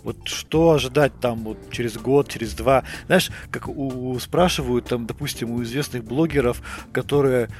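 A man's voice: medium pitch, moderate pace, few pauses, faint music behind. A man hosting a casual interview, putting long, informal questions to a guest. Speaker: podcast host, interviewer.

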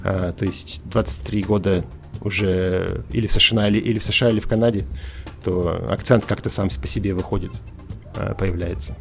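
A man in his forties from Russia joins a podcast by call. His low voice has a gravelly edge. He talks in a halting, thoughtful way, full of fillers and restarts. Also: steady tone, faint music behind. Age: 40s. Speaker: podcast guest. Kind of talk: casual podcast interview over a call.

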